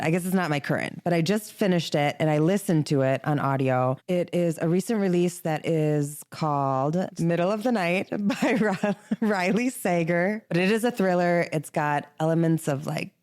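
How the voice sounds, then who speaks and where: A woman's voice: low voice, a little mellow. A woman co-hosting a podcast, talking in a casual, chatty way with informal, conversational phrasing. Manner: chatty, informal, frequent "like" as filler. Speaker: podcast co-host. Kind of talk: casual book chat.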